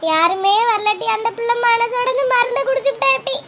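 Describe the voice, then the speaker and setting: A woman's voice: extremely high pitch, fairly quick, noisy background. A woman playing a distraught, helpless older village mother in a drama, lamenting repetitively in a southern Tamil Nadu accent. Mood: distraught, tearful.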